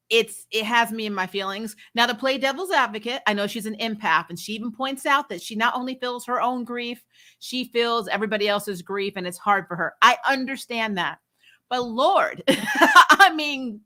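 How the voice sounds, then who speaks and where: A middle-aged woman giving a casual, opinionated recap as a review host, exasperated and animated, often mimicking other people's lines. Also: on the high side. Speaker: host of a fan TV review channel.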